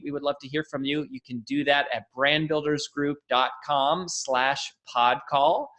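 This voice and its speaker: A man delivering a conversational promotional pitch as a podcast announcer, addressing listeners directly. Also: fairly bright tone.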